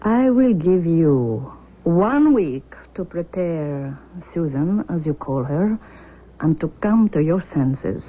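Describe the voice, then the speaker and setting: An actress in a 1970s radio drama playing an embittered, unyielding mother, with intense, measured phrasing. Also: sits low, animated, heavily acted. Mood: bitter, menacing. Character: embittered, unyielding mother demanding her daughter back. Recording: muffled old radio broadcast.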